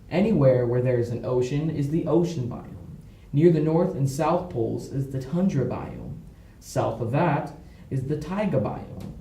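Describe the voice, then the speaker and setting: A man's voice: medium pitch, warm tone. A young man reading scripted educational narration with jokey asides, recorded in a roomy, amateur way.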